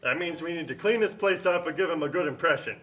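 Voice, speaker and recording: Casting: a man as a bullying puppet factory boss, high male voice, curt threats and barked orders. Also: fast, a bit bright, natural voice. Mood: bossy. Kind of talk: amateur puppet show acting.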